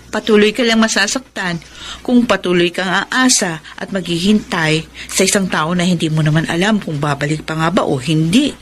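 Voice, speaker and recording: A woman's voice: on the low side, lightly acted. An actress with a Filipino accent playing a frank, concerned female friend in a radio drama, giving emphatic advice. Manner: emphatic, advising. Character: frank, concerned woman friend advising the heartbroken. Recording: noticeable background noise.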